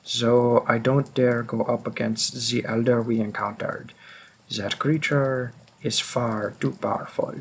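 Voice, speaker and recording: A man playing a centuries-old, remorseful vampire hunter in an audio drama, speaking in a brooding, halting manner with long pauses. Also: medium pitch, steady tone, slight background noise, close to an everyday voice, poor audio. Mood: weary, resolute. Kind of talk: scripted dramatic monologue in audio roleplay.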